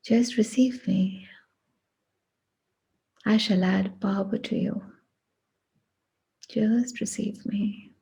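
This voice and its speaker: A woman guiding a meditation in a hushed, breathy voice, speaking deliberately in short phrases with long pauses. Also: medium pitch, fairly even intonation, in a whisper, rough recording. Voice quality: breathy, husky. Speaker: meditation guide. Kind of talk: guided meditation.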